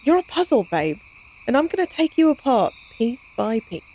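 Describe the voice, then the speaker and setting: A cocky female vampire with a fairly high voice, acted by a woman, talks casually in an intimate audio drama. She is teasing and wry, with the flippant air of a monster who loves science. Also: very animated, moderate pace, darkish tone, poor audio. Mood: enthusiastic. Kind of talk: intimate audio roleplay, conversational acting.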